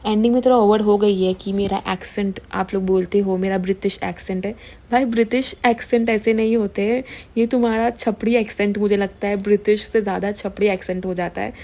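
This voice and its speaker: A young woman talks through influencer gossip in a relaxed, chatty way. She mixes Hindi and English as she goes, and her voice sounds mellow and warm. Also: medium pitch, a little fast. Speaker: gossip commentary host. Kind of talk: casual Hindi-English commentary.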